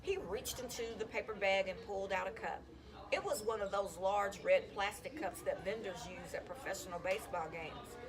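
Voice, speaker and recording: A woman reading a children's chapter book aloud in an expressive, storytelling style. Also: mid-range voice, normal speed, a crowd in the background. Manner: animated, acts out dialogue. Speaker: school counselor reading aloud to students.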